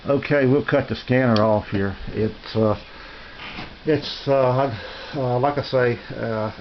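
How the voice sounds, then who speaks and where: An American man giving a casual, unscripted tour of his radio room in a halting, rambling, folksy and polite way. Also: medium pitch. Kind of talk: casual unscripted show-and-tell narration. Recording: dull lo-fi home video, faint noise.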